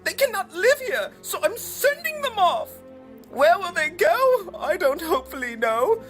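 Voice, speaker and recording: A woman reading a storybook aloud, voicing a small, fussy male forest creature who scolds in a high, squeaky voice. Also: sing-song, unhurried, a bit bright, soft background music, heavily acted. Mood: scolding.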